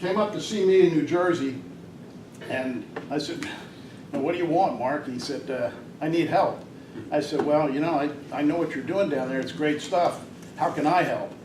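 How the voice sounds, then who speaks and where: A man's voice: fairly high pitch, normal speed. An older man giving a rambling, hesitant talk at a club meeting, with many fillers. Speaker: guest speaker at a Rotary club. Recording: distant mic in an echoey room, noisy.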